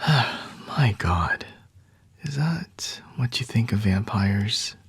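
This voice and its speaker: A man acts out a smug, flirty vampire in an ASMR roleplay, whispering low and very husky, right up close and dry. He takes his time, teasing and talking down to his captive in an unhurried, condescending way. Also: expressive, dry recording, heavily acted. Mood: condescending, amused. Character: flirty, smug male vampire toying with a captured hunter.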